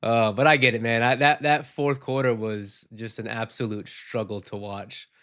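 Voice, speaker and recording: Male podcast host, sick and hoarse, casual and rambling, reacts frankly to fan comments. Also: medium pitch, fairly even intonation, moderate pace, pushed and bright. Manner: frank, rambling, reads viewer comments aloud. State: sick, losing his voice. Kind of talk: casual postgame chat reading comments.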